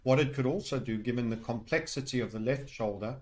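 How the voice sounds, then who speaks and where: A man's voice: mid-range voice, brisk, darkish tone. A man talking through technical analysis off the cuff as a trading educator, rambling and heavy on jargon with colourful asides. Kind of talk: unscripted technical chart commentary.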